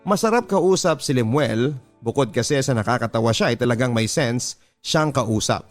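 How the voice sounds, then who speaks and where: A man's voice: medium pitch, lively intonation, normal speed. Man narrating a confessional story over music. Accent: Filipino. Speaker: radio drama narrator reading a listener's letter. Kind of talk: scripted first-person story narration. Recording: music bed under the voice. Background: background music.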